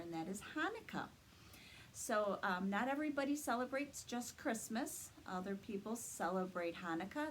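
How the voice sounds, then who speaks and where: A woman's voice: medium pitch, a little hiss or hum, poor audio. A woman leading a read-aloud story time for young children, sing-song and encouraging, explaining things simply.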